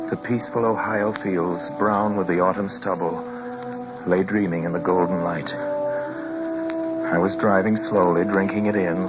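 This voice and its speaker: An actor playing a driver who recounts a troubling journey in measured narration that slips into nervous stammers, in a radio play. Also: male voice, deep voice. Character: uneasy male driver recounting a trip. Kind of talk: 1940s radio drama narration. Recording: dull lo-fi with music behind.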